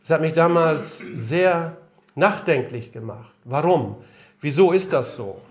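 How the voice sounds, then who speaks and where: An older man delivers an earnest talk in a lecture-style sermon, his voice a little worn because he is unwell. He speaks in a fairly high register and leans on rhetorical questions. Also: expressive, measured pace. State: slightly unwell, voice strained. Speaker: missionary speaker. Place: conference room.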